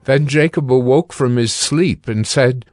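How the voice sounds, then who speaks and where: A man narrating scripture from a script in a measured, formal way. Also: mid-range voice, darkish tone, faint music behind.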